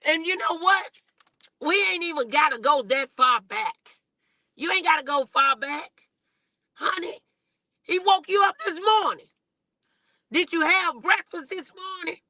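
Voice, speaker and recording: Female American preacher-host, high-pitched and very forceful, exhorting an online congregation, close dry sound. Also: lively intonation, measured pace, long pauses, no echo. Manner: exhorting, calling on listeners with repeated rhetorical questions. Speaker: host and minister preaching morning motivation. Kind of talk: motivational sermon to an online audience.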